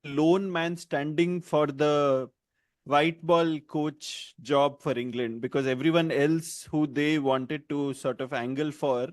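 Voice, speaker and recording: A man's voice: on the high side, fairly even intonation, slow pace, no echo. A man co-hosting a podcast in casual chat, talking loosely and laughing often between points. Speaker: podcast co-host.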